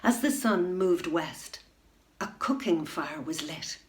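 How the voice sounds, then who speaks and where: A middle-aged woman reading a children's bedtime story aloud at home, expressive, into a webcam. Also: medium pitch. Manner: expressive, rising to loud excitement in dramatic moments. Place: room at home.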